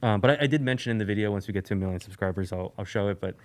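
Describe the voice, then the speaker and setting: A man from Eastern Europe, a podcast guest, talking in a relaxed, rambling way with lots of fillers and restarts. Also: lowish voice, racing. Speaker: guest, sneaker YouTuber. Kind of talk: casual podcast conversation.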